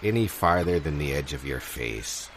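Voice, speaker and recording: A man playing a grave, slow-witted seasoned pirate telling sea stories in ponderous sailor talk, in improvised audio comedy. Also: very deep voice, expressive, background noise. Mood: earnest, solemn.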